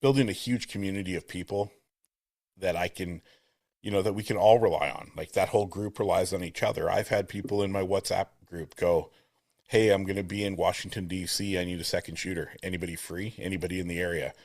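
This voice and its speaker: Deep-voiced man hosting a podcast. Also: fairly even intonation, brisk, darkish tone, no echo. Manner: earnest, upbeat, rambling. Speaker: podcast host. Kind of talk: casual conversational interview. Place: home room. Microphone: studio mic with compressor, at home.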